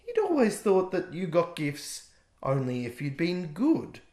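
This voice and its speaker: A man reading aloud his own rhyming picture book in a gentle, expressive way. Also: fairly high pitch, measured pace. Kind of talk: rhyming storybook narration. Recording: echoey room.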